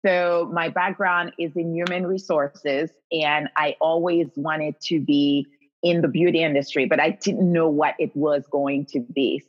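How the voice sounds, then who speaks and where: A woman with a Haitian accent speaks in a somewhat low voice, heard through a dull, lo-fi recording. She talks casually and effusively as an interview guest, quick to affirm and encourage. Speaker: guest, skincare company founder. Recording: dull lo-fi audio.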